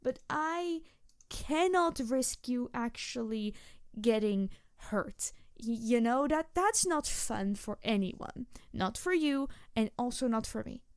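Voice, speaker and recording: A woman voicing an excitable, playful young tanuki spirit in a roleplay audio, rambling and stammering as she talks to the listener. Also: fairly high pitch, takes it slowly, smooth, no echo, studio quality. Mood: apologetic, earnest.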